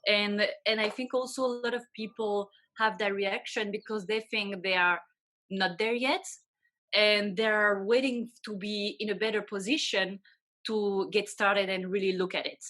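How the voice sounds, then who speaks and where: Bright-voiced Belgian French woman. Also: medium pitch, steady tone. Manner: quick, earnest, restarts sentences. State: pregnant. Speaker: guest, financial advisor. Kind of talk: casual interview chat.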